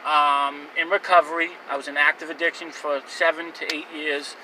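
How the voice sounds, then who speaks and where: A young man in his twenties speaks his life story in a loose, rambling way, his voice very bright and a little high. He sits some distance from the mic, with faint outdoor and traffic noise behind him. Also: flat delivery, normal speed. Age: 20s. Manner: rambling, candid, with fillers and restarts. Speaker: vlogger. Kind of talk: personal life story to camera.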